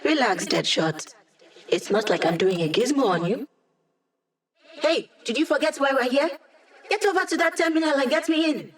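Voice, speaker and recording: A woman's voice: high voice, lively intonation, moderate pace, pauses often. A woman voicing a wry, upbeat young hacker who lives as a digital mind, in a casual, teasing video game performance. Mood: wry, focused. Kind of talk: video game character voice lines.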